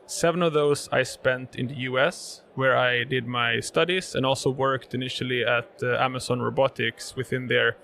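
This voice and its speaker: Gravelly Swedish man, halting explanations. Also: mid-range voice, fairly bright tone, a little hiss or hum. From Sweden. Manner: many fillers, repeats words while explaining. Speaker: guest, robotics sales manager. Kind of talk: conversational interview answers. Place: live event podcast booth.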